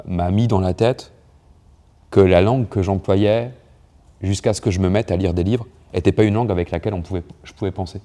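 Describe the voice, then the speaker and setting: Low-voiced guy in his twenties, warm dark tone, stops and restarts a lot, interview. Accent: neutral Parisian French. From France. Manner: hesitant, many restarts and fillers. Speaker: writer and poet.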